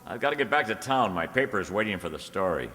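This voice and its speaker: A man's voice: lowish voice, animated, fast talker, fairly bright tone. An actor playing a confident, courteous male newspaper reporter in a radio serial. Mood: assured. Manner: polite, assured. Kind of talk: old-time radio serial acting. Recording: echoey hall.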